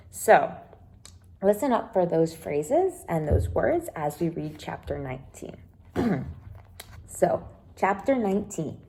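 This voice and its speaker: Expressive woman teacher reading aloud to kids. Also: mid-range voice. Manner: explanatory, addresses children simply, with dramatic emphasis. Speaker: elementary teacher. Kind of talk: children's read-aloud lesson with explanations.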